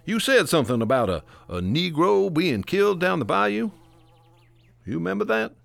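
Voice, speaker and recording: A man's voice: sits high, very animated, normal speed, vocal fry, a bit bright, dry recording, heavily acted. A man playing a courteous, patiently probing police inspector in a radio drama. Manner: polite, casually probing.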